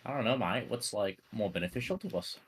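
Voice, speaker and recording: A man's voice: lowish voice, fast, a little hiss or hum. A man playing a tabletop roleplay game, chatting in a hesitant, matey, casual way.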